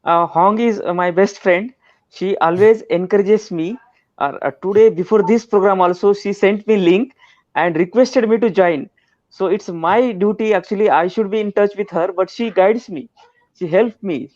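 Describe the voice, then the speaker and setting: A man from Maharashtra, India, with an Indian accent and Marathi as his first language, a schoolteacher speaking haltingly with many fillers on a group call. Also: high-pitched, a little mellow, dry recording, highs cut off. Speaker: schoolteacher, participant. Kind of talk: casual group video call.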